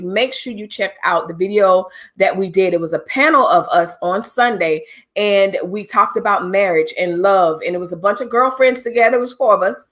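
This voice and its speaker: A woman's voice: medium pitch, fairly bright tone. A middle-aged woman hosting a livestream, talking casually and directly to her viewers with preachy asides. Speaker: livestream host.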